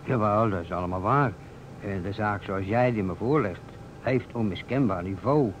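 An actor playing a sceptical, puzzled amateur detective in a radio play, hesitant and grudging. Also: male voice, lowish voice, normal speed, lightly acted. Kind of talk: Dutch radio play acting. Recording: dull, noisy old radio recording.

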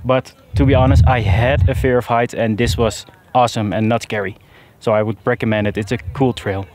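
A man's voice: mid-range voice, fairly even intonation, brisk, darkish tone. A young man chatting casually while hiking a mountain trail, filming himself at arm's length. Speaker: hiking vlogger. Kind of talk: casual travel vlog chat. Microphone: camera held at arm's length.

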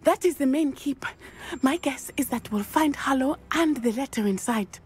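A woman's voice: sits high, lightly acted. A woman voicing a composed, daring schoolgirl witch. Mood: determined. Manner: measured, formal, confident speech, often hushed. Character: composed teenage schoolgirl witch, brave and determined. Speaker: game character.

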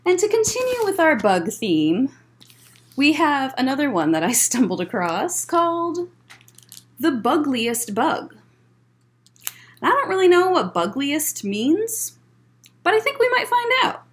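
A woman in her thirties reading a picture book aloud as a children's librarian, in measured phrases with chatty asides. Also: high voice, big pitch swings. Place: library room, indoors.

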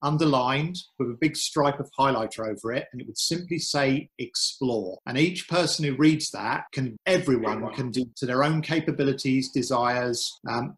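A man's voice: mid-range voice, rough recording. A man answering interview questions on a podcast, thoughtful and a little hesitant, restarting his phrases as he thinks. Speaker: podcast guest, cave and wreck diver.

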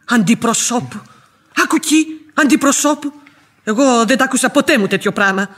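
A husky blunt old nanny maid in a radio play, mouthy and stubborn. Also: female voice, fairly high pitch, a bit bright. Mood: indignant. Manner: mocking, stubborn, plain-spoken. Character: blunt, outspoken, folksy old housemaid and nanny. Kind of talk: radio theatre acting. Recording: old radio drama recording.

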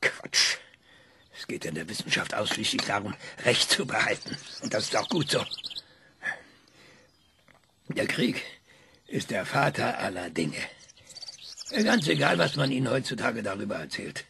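An actor playing a proud, bitter older male scientist in a radio play, sardonic and lively. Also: on the low side, unhurried, creaky, pauses often, background noise, full character voice.

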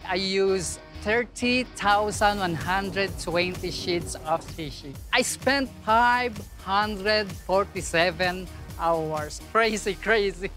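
A man's voice: high-pitched, slow, sharp tone, soft background music. A man from the Philippines, a florist and contestant, speaking in an earnest, slightly nervous way in a reality show confessional interview.

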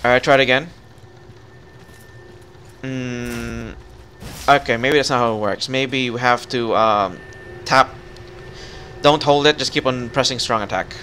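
A man talking his partner through a game in a relaxed, instructive way, his voice at an ordinary pitch. A faint hiss of noise sits under the recording. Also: unhurried, pauses often. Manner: instructive, coaching. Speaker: gamer guiding a co-op partner. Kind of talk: casual gameplay chat.

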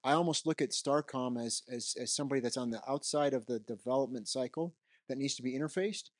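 A man's voice: mid-range voice, quick pace, studio quality. A middle-aged man giving an unscripted keynote in a conference hall, speaking conversationally into a handheld microphone and often tagging his points with "right?". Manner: conversational, roams the stage, frequent "right?" tags.

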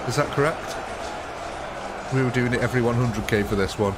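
Brief: male streamer with a cold, sniffly, chatty and upbeat, clear hiss behind the voice. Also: medium pitch, fairly quick. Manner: cheerful, chatty, laughing often. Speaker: livestream gaming host. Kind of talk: casual live commentary.

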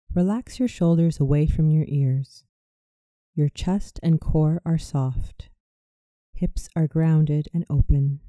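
Very mellow, low-toned guided meditation voice. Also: dry recording. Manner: soothing, measured meditation delivery. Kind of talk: scripted guided visualization narration. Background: music.

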